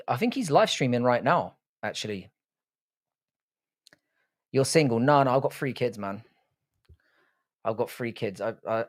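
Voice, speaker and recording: An Irish-born man with a South London accent, chatting casually with his viewers while hosting a livestream and swearing freely. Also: medium pitch. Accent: South London English. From Ireland. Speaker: livestream host. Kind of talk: casual livestream chat reading viewer comments.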